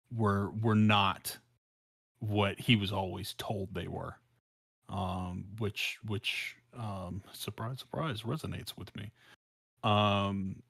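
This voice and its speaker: A man's voice: lowish voice, a bit bright, no room sound, studio quality. An American man chatting casually on a podcast, hesitant and repeating phrases as he searches for his words. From South Central Los Angeles, United States. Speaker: podcast co-host.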